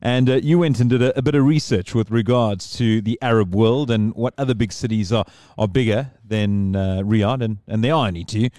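A man's voice: lowish voice, moderate pace, few pauses, dark tone, dry recording. A man hosting a podcast in casual chat, rambling and digressing as he talks. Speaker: podcast host.

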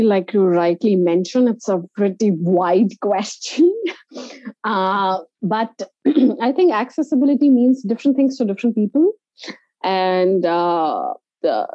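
A woman with an Indian accent answers as an interview guest in a mellow, relaxed voice. She explains in a halting, conversational way, often repeating a word as she goes. The audio is a little dull and lo-fi. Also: mid-range voice, no room sound. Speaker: interview guest, inclusive travel organiser.

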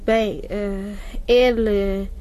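A woman's voice: medium pitch, fairly even intonation, drawn-out words, dark tone. A woman playing a polite, anxious teenage exchange student in a radio drama. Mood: shocked, flustered.

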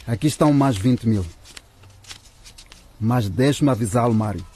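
A man acting a stern, cold businessman in a radio drama, speaking with deliberate threat and a husky voice. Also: medium pitch, background noise, lightly acted. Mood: stern menace. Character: menacing businessman, cold, impatient, paying off a blackmailer.

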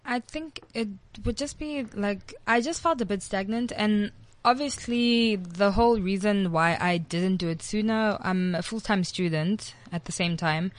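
A young woman from South Africa, a guest DJ chatting casually in an interview, animated and full of "like". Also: mid-range voice, a little slow, dry recording.

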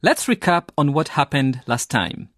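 A man's voice: on the high side, sing-song, a little slow, fairly bright tone, dry recording, clean studio sound. A man reading a scripted recap as the narrator of a radio drama series.

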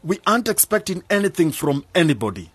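An eager young village organizer. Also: male voice, fairly high pitch, sing-song, fast talker, a bit bright, husky, no echo, full character voice. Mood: persuasive. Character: earnest young village man, teasing organizer. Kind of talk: radio drama acting.

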